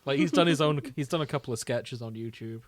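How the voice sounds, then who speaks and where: A man's voice: medium pitch, fast, dry recording. A man co-hosting a casual podcast, bantering and reading fan fiction aloud in a jokey way, often laughing. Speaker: podcast co-host.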